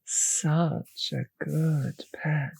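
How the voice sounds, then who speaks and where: A woman playing a possessive, teasing vampire mistress in an intimate roleplay audio drama, speaking slowly and condescendingly with a breathy voice that often sinks to a whisper. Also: sits low, steady tone, darkish tone, a little hiss or hum, exaggerated voice. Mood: doting, possessive.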